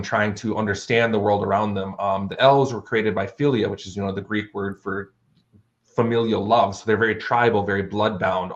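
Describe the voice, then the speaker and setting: A man with a fairly low voice talks as a guest author in an interview. He explains things in an articulate, bookish but conversational manner. The recording is a little dull and lo-fi. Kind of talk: conversational explanation of fantasy worldbuilding.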